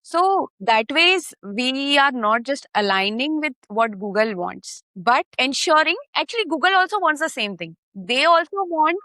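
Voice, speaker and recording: A woman appears as a podcast guest, talking in a fairly high voice. She explains her work through anecdotes and often checks in with "okay?" and "right?". Her voice sounds close and dry on the mic. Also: big pitch swings, measured pace, few pauses, sharp tone, dry recording. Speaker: podcast guest, content marketer. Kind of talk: podcast interview.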